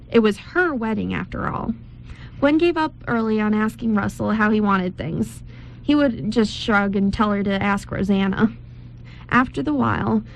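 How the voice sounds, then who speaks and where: A woman's voice: on the high side, rough recording. A woman reading scripted story narration aloud as narrator, occasionally stumbling over the words.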